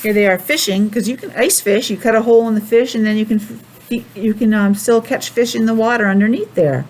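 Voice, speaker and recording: A woman's voice: on the high side, fairly quick, poor audio. A woman teacher reading a picture book aloud to young children, with chatty asides and simple questions. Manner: animated, addressing small children with simple questions.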